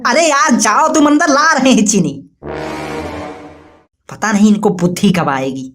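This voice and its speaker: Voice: medium pitch, sing-song, unhurried, fairly bright tone, faint music behind. A performer voicing a henpecked, aggrieved husband in a comic cartoon, a man who grumbles and argues indignantly. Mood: grumbling.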